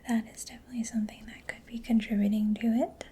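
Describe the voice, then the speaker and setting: A woman doing a soft-spoken ASMR roleplay as a mechanic, in a breathy whisper with a gentle, hesitant, reassuring manner. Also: on the high side, level tone, fast talker, slight background noise.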